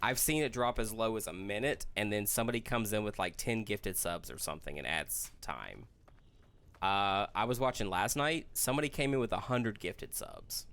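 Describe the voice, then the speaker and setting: A man in a casual group chat, explaining a story haltingly with self-corrections. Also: on the low side, lively intonation, a bit bright. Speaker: podcast host.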